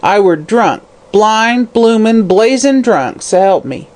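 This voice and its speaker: A narrator voicing a vicious, drunken old woman who croaks and snarls. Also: mid-range voice, lively intonation, slow, a little mellow, pitched above the performer's own voice. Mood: snarling. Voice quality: croaking, harsh. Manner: snarling, cursing.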